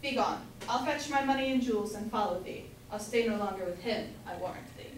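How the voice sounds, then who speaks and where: A woman's voice: medium pitch, a little fast, close to an everyday voice. A young woman playing a scolding, fretful wife and mother in an amateur staged reading, emphatic and declamatory. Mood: scolding, exasperated. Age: young adult. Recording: echoey hall from far off, noisy.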